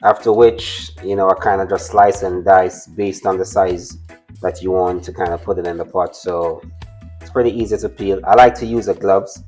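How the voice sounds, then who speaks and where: A man's voice: low-pitched, fairly even intonation, normal speed, darkish tone, soft background music. A Jamaican man from the island's countryside, talking casually through a cooking demonstration and often repeating words as he starts a thought. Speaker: guest cook.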